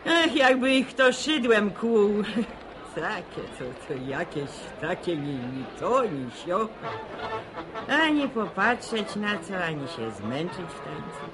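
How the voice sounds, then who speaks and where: A woman's voice: mid-range voice, very animated, slow pace, background noise. An actress playing a grumbling, nostalgic middle-aged village mother in a radio drama, with a sighing manner. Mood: disapproving.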